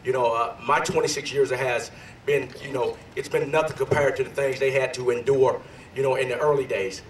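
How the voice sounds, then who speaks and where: Slightly hoarse, chatty sergeant major. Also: male voice, medium pitch, fairly quick, a little hiss or hum. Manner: loose and talkative, many fillers, energetic. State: a little hoarse. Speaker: sergeant major giving a history talk. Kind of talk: ceremonial speech. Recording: distant echoey PA outdoors.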